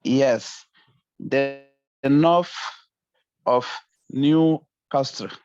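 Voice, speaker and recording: Guy student on a muffled video call, slow, short halting answers. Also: mid-range voice, a little mellow. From South Africa.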